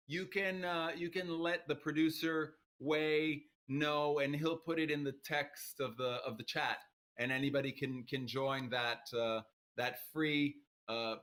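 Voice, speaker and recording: Bright-voiced joking male host. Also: on the high side. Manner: chatty, joking, with frequent fillers and restarts. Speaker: host of a violin competition livestream. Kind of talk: casual livestream hosting.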